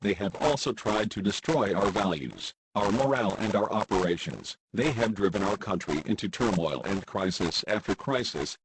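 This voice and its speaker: A man's voice: fairly low pitch, animated, moderate pace, few pauses, background noise. A man playing a grave, embattled American president giving a formal, declamatory emergency address. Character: grave, embattled male President of the United States.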